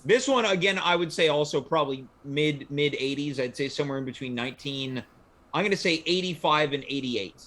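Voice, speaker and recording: A man's voice: a little high, a little fast, a bit bright. A man hosting a talk show in casual, conversational chat.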